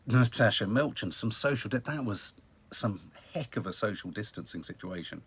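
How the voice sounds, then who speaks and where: A British man with a husky, fairly low voice chats casually as a live-stream host. He rambles wryly through the news, leaning on words for dramatic effect. His pitch swings widely as he talks. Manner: rambling, wry, dramatic emphasis. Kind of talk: casual news chat to viewers.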